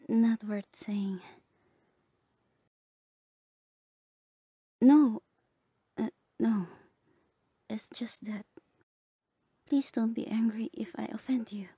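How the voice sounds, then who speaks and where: A woman playing a gentle, lovestruck bard companion in a romantic ASMR roleplay, speaking softly and tenderly, often half whispering. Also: medium pitch, creaky, slightly rough, no room sound, studio quality, exaggerated voice. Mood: shy, nervous. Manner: tender, hesitant, polite.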